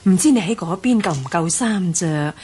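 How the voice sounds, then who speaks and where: An actress playing a doting, considerate middle-aged mother with a husky voice, in a Cantonese radio drama. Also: fairly low pitch, expressive, normal speed, a little mellow, close to an everyday voice. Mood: tender, wistful.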